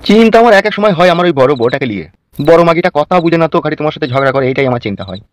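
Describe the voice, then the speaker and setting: A man's voice: on the high side, lively intonation, rapid-fire, natural voice. A man voicing a crude, grumbling village husband in a comedy cartoon. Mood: worried. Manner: grumbling, coarse. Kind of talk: adult comedy cartoon dialogue.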